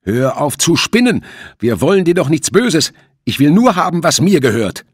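A man's voice: fairly high pitch, big pitch swings, normal speed, husky, no room sound, studio quality, voice pushed up. Man playing an agitated, greedy crook, raised voice. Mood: angry. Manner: agitated, growling impatience. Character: clumsy, agitated male criminal, greedy and threatening.

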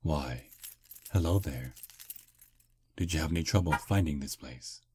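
Super deep slow gentleman vampire guy voicing him, soft flirty asmr date talk. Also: a little hiss or hum. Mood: smooth flirting. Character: charming polite male vampire on a first date. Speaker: voice actor. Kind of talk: ASMR roleplay.

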